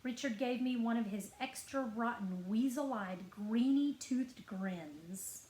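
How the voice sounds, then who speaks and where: A woman reading a children's story aloud as a librarian would, expressive and deliberate, drawing out key words. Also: on the high side, slight background noise. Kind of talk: expressive scripted story narration. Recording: roomy, echoing indoor sound.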